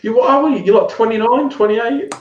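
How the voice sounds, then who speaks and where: A man, chatting casually as a show co-host, animated and quick to laugh. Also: very high-pitched. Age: 30s. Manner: animated, laughing, trails off and restarts. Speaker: podcast co-host. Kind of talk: casual chat about tabletop miniatures. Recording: echoey room, dull lo-fi sound.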